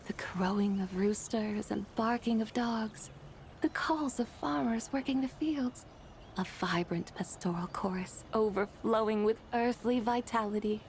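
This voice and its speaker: A woman's voice: on the high side, unhurried, a little hiss or hum. An actress playing a serene, gracious otherworldly lady in a video game, speaking in formal, courtly language. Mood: serene, admiring. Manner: formal, eloquent, poised. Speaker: game voice actor. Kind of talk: poetic, courtly game character acting.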